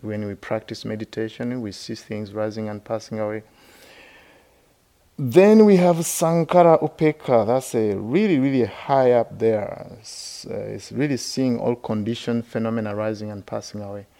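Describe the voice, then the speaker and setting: A middle-aged Buddhist monk from Uganda teaches in a slow, halting way, often pausing on fillers as he explains. His voice sits low and carries a gravelly edge. He gives the talk at a meditation retreat. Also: warm tone. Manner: laughs while telling stories. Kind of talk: dharma talk.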